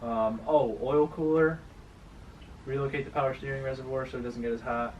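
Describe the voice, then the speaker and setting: A man's voice: medium pitch, steady tone, moderate pace, far from the mic, lo-fi sound. Offhand, sweary man, noisy recording. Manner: offhand, slangy, swearing, rambling. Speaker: car owner and vlogger. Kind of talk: casual chat about his drift car. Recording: clearly noisy location recording.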